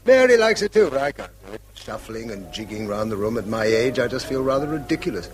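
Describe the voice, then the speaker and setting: An actor with an English accent playing a dry, witty middle-aged schoolmaster in a radio drama, with a wry, bookish manner. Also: male voice, medium pitch, sing-song, fast, soft background music, close to an everyday voice. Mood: wry, genial. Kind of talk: British radio drama acting.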